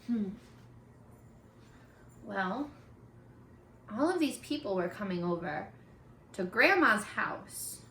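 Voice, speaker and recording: A woman reading a picture book aloud like a teacher, expressive and encouraging, putting questions to her young listeners. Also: mid-range voice, slow pace, pauses often, a little hiss or hum. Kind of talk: animated children's read-aloud with questions to listeners. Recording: echoey, roomy sound.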